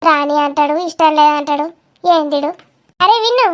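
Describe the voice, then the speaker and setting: A woman's voice: high voice, expressive, normal speed. A woman voicing a nosy, grumbling village aunt in a cartoon comedy, with a Telangana Telugu accent and a blunt, gossipy way of talking. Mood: suspicious, probing.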